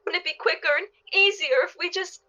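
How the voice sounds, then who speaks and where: A high, tense female voice in a dull, band-limited recording. An actress plays a fretful wife and mother in a radio play, pleading and anxious to the edge of despair. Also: brisk, pushed and bright. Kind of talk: radio drama acting.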